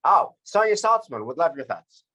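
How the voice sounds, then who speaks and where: A man's voice: a little high, very animated, normal speed, bright, forceful tone, husky. An American man leading a group book discussion in an earnest, reflective way, often repeating and rephrasing his points.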